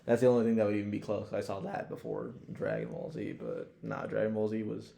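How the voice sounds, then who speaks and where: Low, gravelly-voiced man chatting casually. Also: big pitch swings, a little fast, dark tone, roomy sound. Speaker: podcast co-host. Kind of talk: casual chat, profanity-laden.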